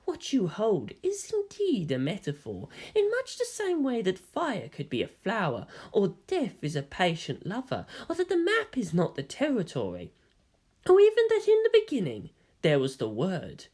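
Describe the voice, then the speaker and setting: A woman voicing a calm, cryptic young male hybrid who speaks in a soft, measured, quietly mocking way. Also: medium pitch, husky, pitched above the performer's own voice.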